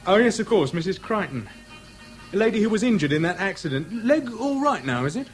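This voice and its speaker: A man's voice: high-pitched, big pitch swings, a little fast, soft background music. A British actor in a 1970s radio drama playing a chatty, outwardly affable man who nurses a grudge, speaking in casual slang. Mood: casually probing. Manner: colloquial, slangy, casually insinuating.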